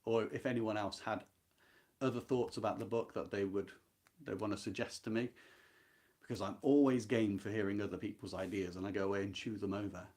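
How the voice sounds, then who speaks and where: A man's voice: lowish voice, fairly even intonation, brisk, darkish tone. A man hosting a book club, talking informally and live about literature in a reflective, earnest and emphatic way. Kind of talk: informal live talk about a classic novel.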